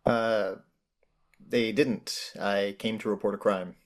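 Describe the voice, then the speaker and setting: A man playing an exhausted, indignant ordinary guy in a script table read, dry and halting in delivery. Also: fairly low pitch, steady tone. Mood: weary.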